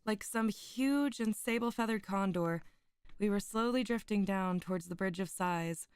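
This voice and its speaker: A young woman streamer, a little hoarse, chatting with viewers and then reading classic prose aloud with giggles and small stumbles. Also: mid-range voice, moderate pace, a little mellow, no echo. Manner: giggly, chatty, stumbles over foreign words. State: slightly rough, hoarse voice. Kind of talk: casual chat and literary reading aloud.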